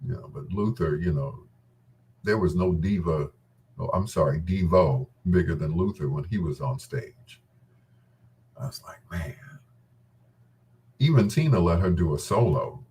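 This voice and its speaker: A man's voice: sits low, animated, unhurried, long pauses, darkish tone, a little hiss or hum. A man hosting a talk show with a casual, unscripted monologue, gossipy and emphatic, often repeating his phrases. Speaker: host of a bedtime talk show.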